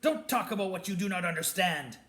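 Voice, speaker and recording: A man's voice: high-pitched, expressive, brisk, fairly bright tone, pitched above the performer's own voice. A man voicing a cold, spiteful male wizard professor who talks in a silky, sneering way. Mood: snapping. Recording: roomy echo.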